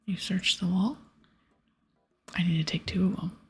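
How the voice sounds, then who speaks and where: Asmr gamer lady, super breathy whisper with some crackle, chatting to herself softly while she plays. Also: lowish voice, steady tone, normal speed. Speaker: host of an ASMR gaming series. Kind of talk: ASMR, whispered casual game playthrough with dialogue read aloud.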